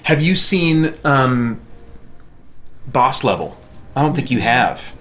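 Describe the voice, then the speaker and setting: A man's voice: mid-range voice, lively intonation, slow, fairly bright tone. An American man chatting casually as he streams a game, in an easygoing, rambling way. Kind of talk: casual chat while playing a game.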